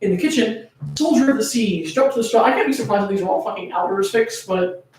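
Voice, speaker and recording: A woman's voice: mid-range voice, fast, darkish tone. A woman reading a story aloud theatrically and bantering casually between passages. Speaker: co-host of a fan fiction reading. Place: echoey room. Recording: very echoey room, distant from the mic.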